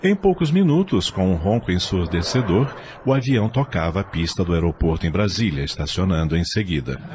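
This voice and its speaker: A man's voice: sits low, expressive, fairly quick, crowd noise. A man narrating an audio novel in a scripted storybook style.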